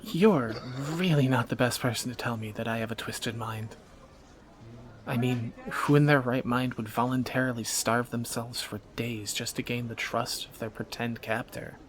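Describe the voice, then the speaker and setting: A man's voice: medium pitch, steady tone, normal speed, a little hiss or hum, close to an everyday voice, low-quality recording. A man playing a wry, flirtatious thief on the run in a romantic audio drama, speaking in teasing, sardonic banter to a companion.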